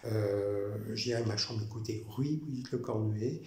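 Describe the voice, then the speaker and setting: A man from France talking in a rambling personal monologue, halting, with many fillers and restarts, repeating himself. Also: lowish voice, mellow. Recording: echoey room with faint noise.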